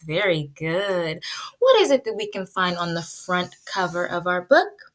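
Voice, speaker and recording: A woman teaching pre-kindergarten children in an animated, child-directed way, asking questions as she leads a read-aloud. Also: on the low side, a little slow.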